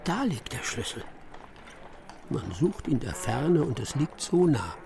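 An actor playing a self-assured, theatrical master detective in a radio play, declaiming pompously and excitably with little care for others. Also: male voice, medium pitch, unhurried, creaky, slight background noise. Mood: excited, self-absorbed. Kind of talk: German radio play acting.

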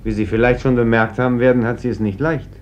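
A man's voice: mid-range voice, fairly even intonation, fast, lightly acted. A man playing a courteous, earnest young village priest in a German radio play, polite and formal. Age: young adult. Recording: dull, slightly noisy old radio recording.

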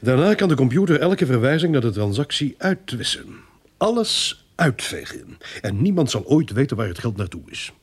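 Cocky corrupt policeman, radio drama, raspy voice that swings up and down. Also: medium pitch, sing-song, normal speed. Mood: calculating. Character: smooth-talking corrupt policeman, cocky and scheming.

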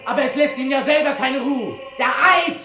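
A man's voice: very high voice, brisk. A man playing an insistent, accusing young challenger, urgent and confrontational, in a vintage radio drama. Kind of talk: 1960s German radio drama acting. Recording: echoey, noisy vintage radio recording.

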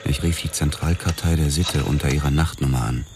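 An actor narrating as a weary, sardonic police detective in a radio drama, with dry and clipped delivery. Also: male voice, rumbling low, fairly even intonation, a little fast, background noise.

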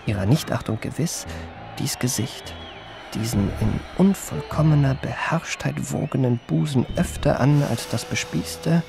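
A man's voice: medium pitch, unhurried, gravelly, over music. An actor playing a refined, self-regarding adventurer who recounts his memoirs in polished, ornate phrasing for a radio drama. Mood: fascinated. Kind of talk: literary radio drama narration.

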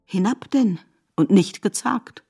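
An actress playing a grave, knowing narrator in a literary radio drama, declamatory and deliberate. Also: female voice, medium pitch, expressive, gravelly, darkish tone, husky, soft background music, full character voice. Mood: knowing, reassuring. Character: grave, knowing female narrator who beckons listeners into myth.

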